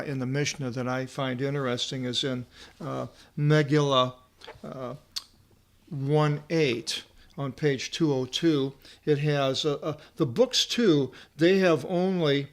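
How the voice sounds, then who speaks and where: A man giving an informal verse-by-verse Bible lecture as a teacher, reading aloud and explaining word by word in short, halting phrases. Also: mid-range voice, gravelly, a bit bright. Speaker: Bible teacher and translator presenting a video seminar.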